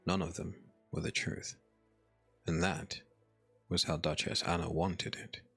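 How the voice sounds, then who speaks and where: A man narrating a dark fantasy audiobook as a scripted story, in a solemn, measured manner. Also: sits low, little pitch movement, vocal fry, breathy edge, soft background music.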